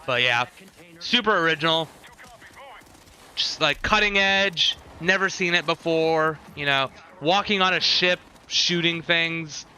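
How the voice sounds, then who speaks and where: A man's voice: fairly high pitch, unhurried, bright, forceful tone, slight background noise. A man chatting casually to viewers as a livestreamer, giving commentary with sarcastic, unimpressed asides.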